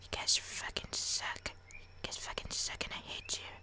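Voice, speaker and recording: A woman's voice: moderate pace, close to an everyday voice. Woman putting on a menacing whispered, bitter heckler. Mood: vengeful muttering. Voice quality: breathy whisper. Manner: sweary, mock-menacing. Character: bitter, sarcastic heckler muttering vengeful curses.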